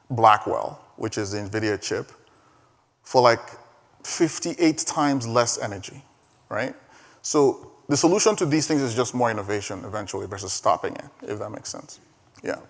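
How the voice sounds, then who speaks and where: An energetic man whose first language is Igbo speaks on stage at a conference in an ordinary mid-range voice. He is emphatic and keeps doubling back on his words. His voice carries a long reverb from a large hall. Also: medium pitch. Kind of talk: live keynote talk and Q&A.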